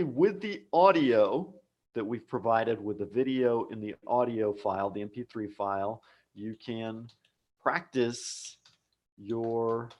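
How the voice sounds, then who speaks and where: An American man teaching a live English lesson online, speaking patiently and repeating key words for learners. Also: mid-range voice. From United States. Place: home office abroad.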